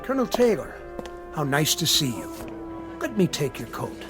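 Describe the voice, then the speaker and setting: An actor playing a deferential, careful club attendant in a radio drama. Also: male voice, on the high side, sing-song, normal speed, music in the background. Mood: obliging.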